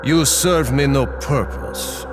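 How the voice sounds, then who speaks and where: An actor playing a menacing, haughty cult leader in a video game, gloating with pompous deliberation. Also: male voice, mid-range voice, slow pace, over music. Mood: gloating menace. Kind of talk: video game cutscene acting.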